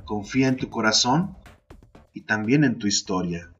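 A man narrating a literary fantasy poem as a podcast host, in a measured, deliberate storytelling style. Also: on the low side, lively intonation, lo-fi sound. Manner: measured, deliberate, second-person storytelling delivery. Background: music.

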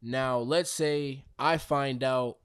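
A mid-pitched man explains his ideas on a podcast. He walks through them step by step and keeps checking that his listeners follow. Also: steady tone, takes it slowly, clean tone, no echo, studio quality. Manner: explains theories, repeats "you feel me". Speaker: podcast co-host. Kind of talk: casual chat.

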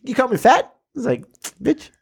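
A man hosting a podcast in casual chat, swearing freely and acting out quotes in animated stories, in a room above a brewery. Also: extremely high pitch, normal speed, vocal fry, slightly rough. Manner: profane, animated storytelling with voiced quotes. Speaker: podcast host.

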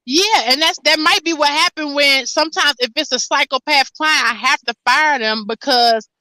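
A woman's voice: high voice, moderate pace, no echo. A woman hosting a casual podcast chat as a hairstylist, telling stories. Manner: animated storytelling, laughs often.

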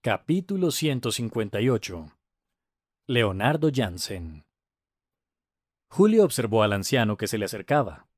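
A man narrating a scripted story for language learners, with careful, deliberate enunciation. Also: fairly low pitch, big pitch swings, no room sound, studio quality.

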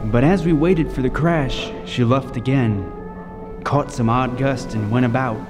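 A man's voice: medium pitch, a little slow, close to an everyday voice. A man acting a suspenseful, reverberant lighthouse-keeper narrator. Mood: hushed dread. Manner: vivid, suspenseful first-person storytelling. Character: tense male lighthouse keeper recounting his own harrowing tale. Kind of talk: 1940s-style radio drama narration and acting. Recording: long reverb with music underneath.

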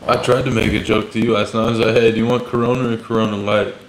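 A man from Cuba trades crude jokes in a loose podcast chat and laughs often. His voice sits fairly low. The recording has an echoey room sound with faint background noise. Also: level tone, rapid-fire, creaky. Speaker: podcast co-host. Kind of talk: casual chat.

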